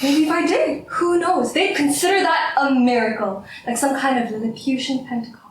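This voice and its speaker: A woman's voice: high-pitched, moderate pace, recorded from a distance, lightly acted. A woman playing a proud, combative mathematician, sardonic and theatrical, in stage-style dramatic dialogue. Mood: angry, sardonic. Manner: sardonic, argumentative, theatrical, sweary. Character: proud, combative woman mathematician, sore loser, bickering with rival. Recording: echoey room, off-mic, faint noise.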